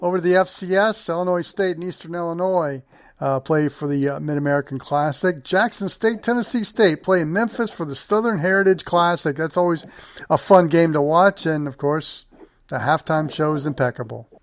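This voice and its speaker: A man's voice: a little high, moderate pace, no room sound, studio quality. A man hosting a sports show, running through matchups and results in conversation with a guest.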